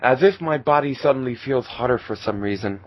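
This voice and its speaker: A man's voice: mid-range voice, a little fast, lo-fi sound, slight background noise, close to an everyday voice. A man voicing a shy, innocent, nervous teenage boy in an amateur fan fiction reading. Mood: confused, innocent. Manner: hesitant, nervous.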